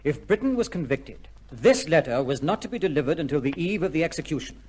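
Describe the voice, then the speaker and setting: An actor playing a tense, brisk colonel with a crisp, authoritative manner in an old-time radio drama. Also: male voice, on the high side, sing-song, darkish tone. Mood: grim resolve. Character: tense, brisk colonel racing to halt an execution. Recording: faintly noisy old radio recording.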